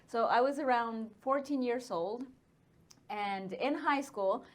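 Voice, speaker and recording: Higher-voiced Mexican woman telling her story. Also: takes it slowly. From northern Mexico. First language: Spanish. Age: 30s. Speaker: interview guest, astronomer. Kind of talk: casual conversational storytelling.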